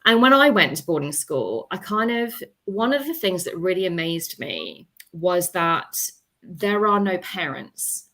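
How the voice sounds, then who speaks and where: A woman's voice: fairly low pitch, a bit bright. A woman speaking as an author on a discussion panel, telling her experiences in a reflective, expansive, conversational way.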